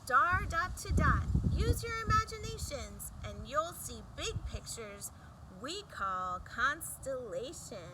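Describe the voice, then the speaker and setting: High, animated woman reading to children. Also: heavy background noise. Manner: sing-song, emphatic delivery with deliberate pauses. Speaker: children's book reader. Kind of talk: expressive read-aloud of a rhyming picture book for children.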